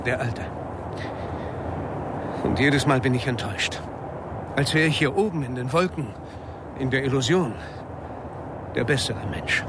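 An actor playing an anxious, talkative, philosophising airline passenger in a radio crime drama, rambling nervously. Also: male voice, medium pitch, measured pace, pauses often, natural voice. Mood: nervous, musing. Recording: loud engine-like vehicle noise behind.

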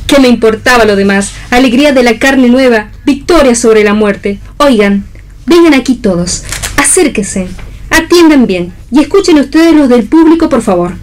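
A woman's voice: sits high, natural voice. An actress playing a sensual, passionate, philosophizing woman in a theatrical radio reading. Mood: declaiming. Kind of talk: read-theatre stage acting on radio.

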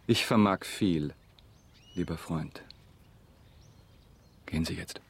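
An actor playing a grave, composed count in a radio drama, speaking with measured, cool authority. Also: male voice, deep voice, slightly rough, exaggerated voice. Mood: firm, reassuring.

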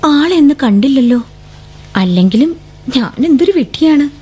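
An actress playing a young woman who writes poems and has a law degree, soft-spoken and reluctant. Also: high-pitched, expressive, normal speed. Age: young adult. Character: dreamy young woman, law graduate, poet. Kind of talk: radio drama acting. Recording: vintage radio broadcast with music.

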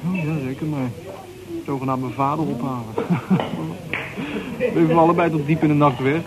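Man playing high-pitched streetwise pub regular. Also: normal speed, a loud crowd, close to an everyday voice, rough recording. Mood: bitter recollection. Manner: chatty, bantering, colloquial. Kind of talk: naturalistic radio drama dialogue.

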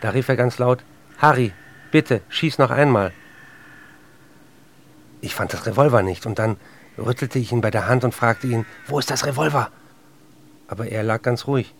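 A man playing a tormented, intense writer who confesses and narrates in measured, intimate speech in a radio play. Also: medium pitch, creaky, a little hiss or hum, lightly acted. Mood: haunted recollection.

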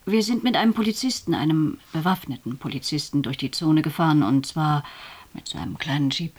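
Husky, low-voiced female witness. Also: brisk, darkish tone. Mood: reflective. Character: witness recalling a journey into a forbidden zone. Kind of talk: radio drama, spoken eyewitness account.

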